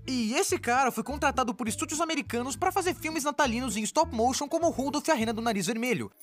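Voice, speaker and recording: Brazilian female narrator for comic explainer, high register, animated swings, playful and sarcastic. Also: fast talker, soft background music. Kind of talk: scripted comedic narration, dubbed.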